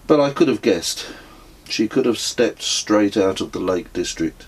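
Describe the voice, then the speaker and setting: A man's voice: lowish voice, animated, measured pace, husky. A man reading his own first-person story as a scripted audiobook narration.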